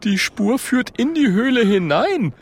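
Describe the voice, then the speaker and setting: Man putting on a very high, childlike little creature. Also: very animated, unhurried, a little hiss or hum, exaggerated voice. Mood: nervous. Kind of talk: children's audiobook character voice.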